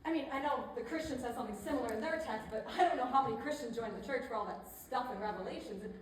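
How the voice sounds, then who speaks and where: A woman's voice: on the high side, racing, lightly acted. A woman playing a chatty, pushy but tactful image consultant in a stage comedy, conversational and persuasive with hesitant asides. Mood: tactful, musing. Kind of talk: theatrical stage comedy acting. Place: indoor theater stage. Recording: echoey theater, distant mic, faint noise.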